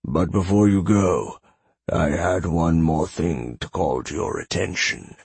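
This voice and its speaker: Husky, deep old librarian, acted by a man. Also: a little slow, a little mellow, no room sound, exaggerated voice. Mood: dutiful. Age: older. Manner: curt, formal, precise. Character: elderly male librarian, formal, dutiful, dryly smug servant. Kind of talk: audiobook character voice.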